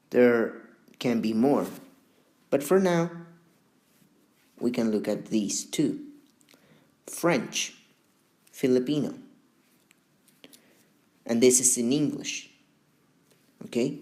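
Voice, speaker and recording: A man teaching a beginner English lesson, speaking slowly and deliberately with long pauses between words. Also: medium pitch.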